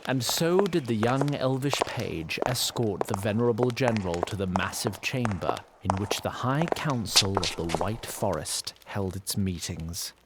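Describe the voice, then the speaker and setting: An actor playing a wry, theatrical narrator of a fantasy saga, reading in ornate literary style. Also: male voice, fairly low pitch, lively intonation, a little slow, hardly any pauses, very noisy. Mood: wry, stately. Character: dry, storybook male narrator of a fantasy saga. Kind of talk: scripted narration.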